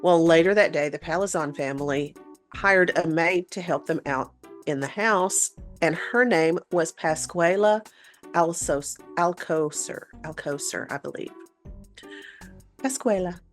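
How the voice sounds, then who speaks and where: A woman's voice: lowish voice, measured pace, soft background music. A woman co-hosting a paranormal show, telling a story in a conversational way.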